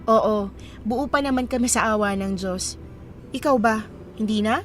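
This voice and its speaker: A woman acting a kind, higher-voiced young Filipina. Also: a little slow, soft background music, close to an everyday voice. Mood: sympathetic. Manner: casual, expressive reactions. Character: kind, curious young woman traveling home. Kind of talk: radio drama dialogue.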